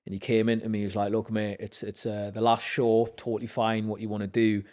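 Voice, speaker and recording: A young man with a Newcastle accent tells his story as a podcast guest, his voice sitting on the low side. He brings the story to life by acting out what other people said and lifts his pitch when he gets excited. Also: a little fast. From Zambia. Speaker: podcast guest, actor and musician. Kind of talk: casual storytelling chat.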